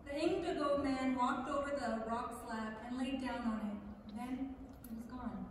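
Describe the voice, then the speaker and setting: A woman's voice: high voice, a little slow. A woman narrating a scripted story as a staged reading in a theatre. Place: large theatre stage, indoors. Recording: echoey theatre hall, distant, faint noise.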